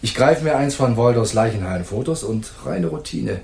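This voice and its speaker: An actor playing a bullying, sneering, hot-tempered police detective in a noir radio drama, mocking and aggressive. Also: male voice, medium pitch, expressive, moderate pace, creaky, reverberant. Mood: pressing, gruff. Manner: mocking, aggressive, swinging from soft taunts to barking.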